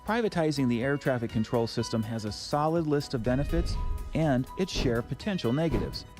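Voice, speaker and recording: A man's voice: medium pitch, animated, fairly quick. A man narrating a scripted news explainer. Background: music.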